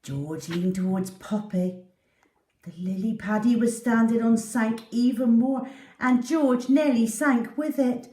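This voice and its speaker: A woman reading a children's storybook aloud in an animated, dramatic way. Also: on the high side, a little slow, far from the mic. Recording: echoey room.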